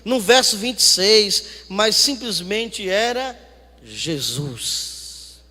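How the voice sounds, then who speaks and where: A man's voice: high voice, expressive, very slow, bright, forceful tone. A man preaching as a pastor, emphatic and repeating phrases for weight. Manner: emphatic, repeats phrases for emphasis, swings between conversational and declamatory. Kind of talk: sermon. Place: church hall. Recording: echoey hall with long reverb.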